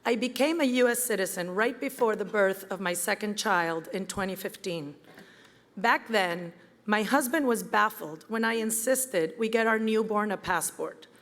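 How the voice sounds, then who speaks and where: A woman, giving a prepared campaign speech in an earnest, measured way. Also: medium pitch. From Venezuela. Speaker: campaign event speaker. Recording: reverberant hall with crowd.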